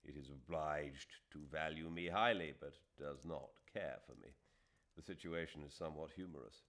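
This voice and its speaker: A man voicing a reasonable, wry English official in a novel, with measured formal speech and a British accent. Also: a bass voice, a bit bright. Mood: dry, wry. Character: dry, reasonable English official, loyal friend.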